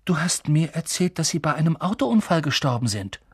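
A man's voice: on the high side, very animated, brisk, husky, no echo, studio quality, heavily acted. A reader voicing a bewildered young boy wizard who asks urgent, stammering questions. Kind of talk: audiobook reading.

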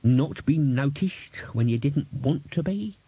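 A cantankerous old manservant, suspicious and quarrelsome, as a male reader puts him on. He drawls his complaints slowly, his voice climbing high and strained with wide swings in pitch. The sound is dry and close, with a husky edge. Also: lively intonation, dark tone, no room sound, heavily acted. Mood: grumpy. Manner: bawling, quarrelsome, slow drawn-out.

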